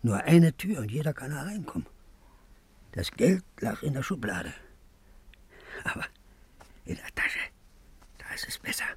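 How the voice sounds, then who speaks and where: A man's voice: mid-range voice, very animated, measured pace, long pauses, breathy edge, heavily acted. A man acting an anxious, suspicious, secretive character in a 1950s radio play, speaking in a nervous, confiding way. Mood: fearful. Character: anxious, secretive man clutching his money, fearful, suspicious.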